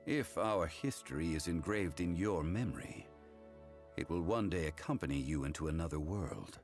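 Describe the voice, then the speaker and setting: An actor playing a calm, solemn ancient man, a wise former god in a video game, who speaks in a formal, measured, reflective way. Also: low-pitched, faint music behind. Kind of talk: English game voice acting, story dialogue.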